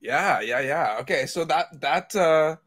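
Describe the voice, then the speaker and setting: A man's voice: a little high, lively intonation, a little slow, a bit bright. Conversational male podcast panelist. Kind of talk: casual chat.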